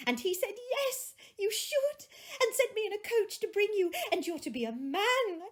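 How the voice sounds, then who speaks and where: A woman's voice: very high voice, lively intonation, normal speed, pitched above the performer's own voice. A woman voicing an eager, loving little girl in a storybook reading, with gushing childish delight. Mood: eager, joyful. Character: excited, loving little girl, younger sister.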